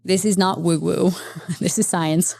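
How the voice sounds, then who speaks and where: A woman therapist speaking as a podcast guest, explaining at length in a lively, conversational way with many fillers. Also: lowish voice, measured pace, gravelly. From Las Vegas, Nevada, USA. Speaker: guest, psychedelic integration therapist. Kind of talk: conversational interview.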